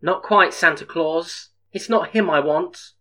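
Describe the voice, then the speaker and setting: A man's voice: a little high, a little slow, bright, forceful tone, pitched above the performer's own voice. Man putting on a menacing, scheming woman. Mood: taunting. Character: menacing scheming woman who has trapped Santa.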